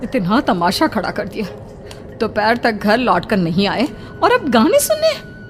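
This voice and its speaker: A woman's voice: fairly high pitch, sing-song, unhurried. A woman voicing an emotional village wife in a horror story, exclaiming and pleading with her husband. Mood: scolding. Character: scolding, bashful, easily frightened young village wife. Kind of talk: horror audio story acting. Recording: faint music behind.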